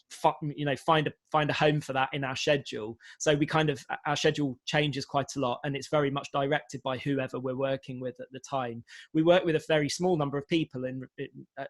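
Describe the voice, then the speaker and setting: A man's voice: mid-range voice, no room sound. A man speaking on a panel for a radio organisation, explaining hesitantly and often repeating words. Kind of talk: spontaneous panel talk.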